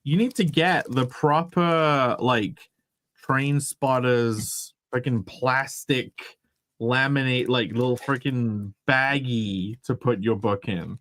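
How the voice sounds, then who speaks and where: A man's voice: mid-range voice, slow pace, fairly bright tone, dry recording. A man with an English accent, co-hosting a casual comics chat show, swearing freely and restarting his sentences. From England. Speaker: podcast co-host.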